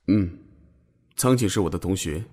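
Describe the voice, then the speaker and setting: A man's voice: low-pitched, moderate pace. A man playing a cool, insistent young suitor in an audio drama, very self-assured. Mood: calm. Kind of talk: Chinese audio drama acting. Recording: loud music behind the voice.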